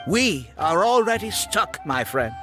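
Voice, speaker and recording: A scheming architect from a video game, smug and self-justifying. His voice sits a little high and rises and falls dramatically, condescending throughout. Clear music plays behind him. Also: big pitch swings, a little slow. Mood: smug, persuasive. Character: smug, scheming male architect running a scam academy. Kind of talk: theatrical video game voice acting. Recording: game audio with background music.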